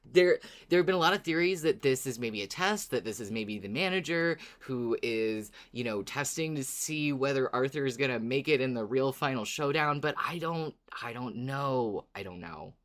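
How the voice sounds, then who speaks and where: A woman co-hosting a podcast in casual fan chat, expressive and enthusiastic, with some swearing. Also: rumbling low, moderate pace, few pauses. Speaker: podcast co-host.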